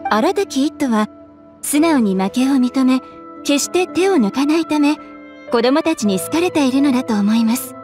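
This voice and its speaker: A calm, polite townswoman. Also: sits high, normal speed, soft background music. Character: polite, composed young townswoman. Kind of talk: video game voice acting.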